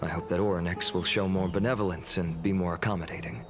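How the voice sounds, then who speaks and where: An actor playing a gentle, earnest warrior who speaks politely and formally in a video game story. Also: male voice, low-pitched, steady tone, fast talker, over music, natural voice. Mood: courteous, reassuring. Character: gentle, earnest male warrior worried for his comrades.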